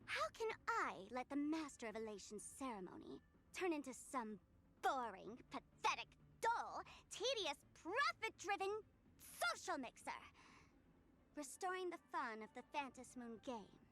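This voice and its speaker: A woman's voice: high-pitched, sing-song, sharp tone, exaggerated voice. A woman voicing a mischievous, attention-craving young streamer trickster from an anime-style game, playful, teasing and theatrical in delivery. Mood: mock-dramatic disdain. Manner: playful, teasing, theatrical, with giggles and drawn-out words. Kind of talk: anime-style video game character voicelines.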